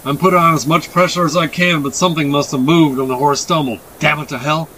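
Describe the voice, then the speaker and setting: Man acting a weary, anxious, gruff father. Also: a little high, fairly even intonation, fast talker, pushed and bright, slight background noise, poor audio. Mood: anxious, strained. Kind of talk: dramatic audiobook character reading.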